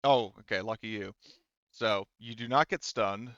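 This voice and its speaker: A man running an online tabletop roleplaying game as game master, talking casually and thinking aloud with hesitant pauses. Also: on the low side, dull audio, background noise.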